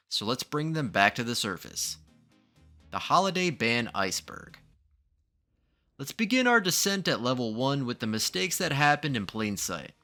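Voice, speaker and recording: Guy doing dramatic video essay narration, really lively pitch, music under it. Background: background music.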